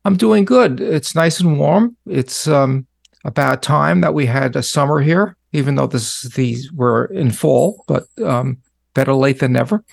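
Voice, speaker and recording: A man co-hosting a radio talk show, talking in a relaxed, halting way with plenty of fillers. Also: mid-range voice, creaky, no room sound, clean studio sound.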